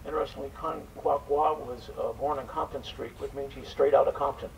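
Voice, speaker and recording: A man's voice: mid-range voice, steady tone, fairly quick, creaky. An older man introducing and narrating a live event, reading from a script as presenter. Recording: distant mic, faint background noise.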